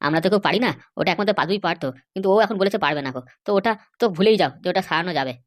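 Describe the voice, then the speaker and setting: Woman voicing a blunt, very fast cartoon man. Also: on the low side, expressive, dry recording, clean studio sound, natural voice. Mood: firm. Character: blunt, sensible older-brother type cartoon man. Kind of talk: comedy cartoon dialogue.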